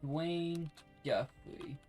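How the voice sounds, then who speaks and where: A man's voice: fairly high pitch, drawn-out words, a little mellow, faint music behind. A man hosting a let's play, chatting casually with a co-host. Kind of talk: casual gameplay chat with a co-host.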